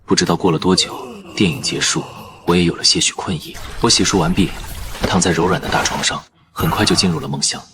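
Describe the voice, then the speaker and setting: A man narrating a first-person story in a calm, even manner. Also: deep voice, fast, noisy background.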